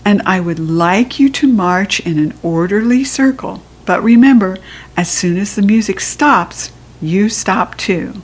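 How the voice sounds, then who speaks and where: A woman voicing an orderly cake lady running a children's game in a storybook, announcing loudly and deliberately. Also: mid-range voice, animated. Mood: instructing. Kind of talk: children's storybook character reading.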